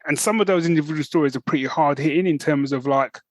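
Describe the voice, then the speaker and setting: A man's voice: fairly high pitch, fast talker. A man co-hosting a podcast, talking casually and enthusiastically as a fan, in a chatty, informal way that often checks in with the listener. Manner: chatty, informal, often checks in with "right?" and "you know what I mean". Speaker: podcast co-host.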